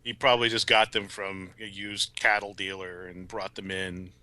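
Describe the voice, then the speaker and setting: A man's voice: sits low, flat delivery, normal speed, sharp tone. A man co-hosting a podcast, chatting casually and analytically about a television show. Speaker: podcast co-host.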